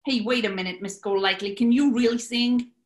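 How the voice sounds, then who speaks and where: A woman's voice: fairly high pitch, fairly even intonation, fairly quick, heavy background noise, lightly acted. A woman playing a brash, scheming male gangster boss with an American accent in a 1940s-style radio play, wisecracking and bossy. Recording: roomy echo.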